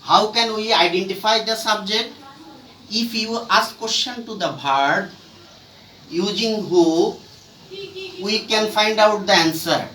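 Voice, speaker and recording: A man with a Bangladeshi accent giving a formal classroom lecture as a teacher, in a deliberate, halting phrase-by-phrase way, recorded in an echoey room. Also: sits high, bright, forceful tone. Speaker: English grammar teacher. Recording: echoey room, distant mic, faint noise.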